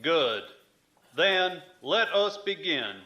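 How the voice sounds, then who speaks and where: Male commodore judging a space navy tribunal: forceful, higher-set voice, stern and deliberate, echoing room. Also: very animated, full character voice. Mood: stern, ceremonious. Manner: formal, deliberate, authoritative. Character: stern, ceremonious male commodore presiding over a military tribunal. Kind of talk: science fiction audio drama acting. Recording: roomy, slightly dull sound.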